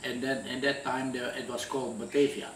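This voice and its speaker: A man's voice: medium pitch, flat delivery, fairly quick, a bit bright. A man in his eighties from Indonesia, recalling his life in an interview, often restarting and repeating words. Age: 80s. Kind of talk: recalled wartime memories, conversational. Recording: roomy echo, distant mic, faint hum.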